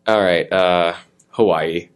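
A young male student chatting casually, relaxed and hesitant, with lots of fillers. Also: deep voice, slow pace, clean tone. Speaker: student panelist. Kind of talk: casual chat.

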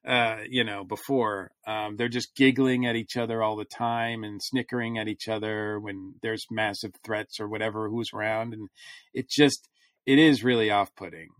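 A man's voice: lowish voice, fairly even intonation, normal speed, a bit bright, dry recording. A man on a podcast panel talking through a casual review, rambling a little as he thinks aloud.

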